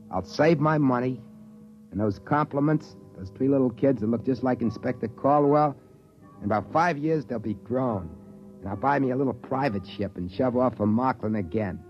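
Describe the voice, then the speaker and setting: Folksy American spaceman narrator played by a male actor, mid-pitched and colloquial, 1950s radio sci-fi, dull old recording with music behind. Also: close to an everyday voice. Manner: folksy, colloquial, plain-spoken. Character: folksy spaceman, secretly an alien passing as human. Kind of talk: 1950s radio drama first-person narration.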